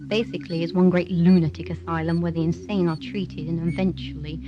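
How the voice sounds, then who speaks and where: A woman playing a brisk, sardonic space station doctor in an audio drama, dry and assured. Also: on the low side, level tone, lo-fi sound, close to an everyday voice. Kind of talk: radio drama acting. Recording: hissy amateur 1980s audio drama tape.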